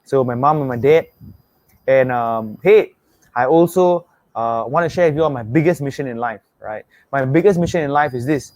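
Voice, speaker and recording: A man's voice: medium pitch, darkish tone, no echo. A young Singaporean man hosting an online webinar, talking with energy, repeating himself and asking viewers to type replies. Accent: Singaporean English. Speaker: webinar host. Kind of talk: motivational webinar pitch.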